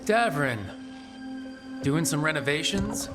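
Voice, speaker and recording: A man's voice: fairly high pitch, lively intonation, slow pace, loud music behind the voice. An actor playing a wry, encouraging male leader of a fantasy party in a video game. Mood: friendly teasing.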